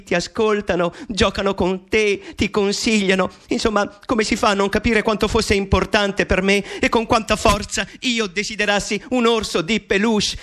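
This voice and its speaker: A man's voice: high-pitched, fairly bright tone. An actor playing a young mathematician in a radio drama, writing to his mother in an intimate, playful, nervously eccentric monologue. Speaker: actor playing Alan Turing. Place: studio.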